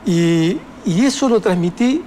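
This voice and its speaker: A man's voice: high-pitched, animated, unhurried, dark tone, a little hiss or hum. An older man with an Argentine Spanish accent, speaking reflectively as an author in an interview, with many fillers and restarts. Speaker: author guest. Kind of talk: interview conversation.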